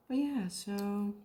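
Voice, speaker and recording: Slow-talking Dutch woman chatting. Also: mid-range voice, mellow. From Netherlands. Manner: rambling, searches for English words, laughs often. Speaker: craft vlogger. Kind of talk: casual chat while crafting.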